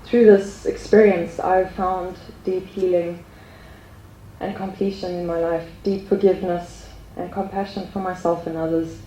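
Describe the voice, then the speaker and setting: A woman giving an earnest spiritual testimony to a group, speaking hesitantly with many pauses and ums. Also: lowish voice, level tone, dark tone, roomy sound, a little hiss or hum, poor audio.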